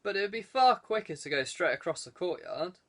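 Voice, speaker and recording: Man voicing fussy, protective castle guide, raised pitch. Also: lively intonation, brisk, fairly bright tone. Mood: explanatory.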